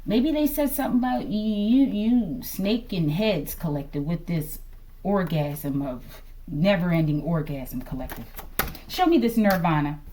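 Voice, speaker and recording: A woman giving a casual tarot reading to her viewers, musing aloud and often ending phrases low as she addresses them. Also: a little slow, warm tone, slight background noise. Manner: musing, repeatedly addresses listeners as collective, trails off low at line ends. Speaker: tarot reader.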